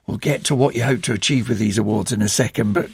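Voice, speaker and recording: Male radio news presenter, husky and gravelly, lively and wry, dry close sound. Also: on the low side, fast talker, no room sound. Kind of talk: live radio interview.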